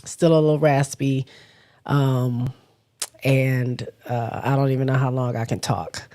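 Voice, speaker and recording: A woman with a cold hosting a casual livestream, her voice raspy and stuffy, talking haltingly and stopping often to clear her throat. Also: very deep voice, fairly even intonation, warm tone. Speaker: livestream host. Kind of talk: casual livestream chat, reading viewer comments.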